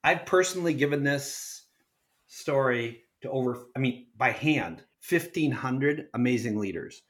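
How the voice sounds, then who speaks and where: A man's voice: mid-range voice, animated, unhurried. A man reading an essay aloud as a podcast guest, in an earnest, emphatic way. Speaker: guest reader.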